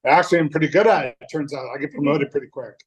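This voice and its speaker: A man's voice: on the high side, very fast, crowd noise. A young man from North Dakota, sharing his personal story at a recovery meeting in a candid, loose way, with many fillers and restarts. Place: meeting room.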